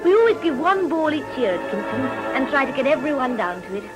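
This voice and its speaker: A woman's voice: high-pitched, sing-song, fast talker, loud music behind the voice, rough recording. An actress playing a poised, earnest young Edwardian society lady with an upper-class English accent and precise, genteel speech in a period radio drama. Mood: gracious, sociable.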